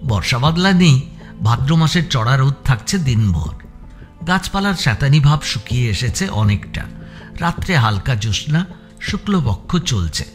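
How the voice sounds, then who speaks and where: A man's voice: mid-range voice, sing-song, moderate pace, faint music behind. A man reading scripted story narration for an audio book.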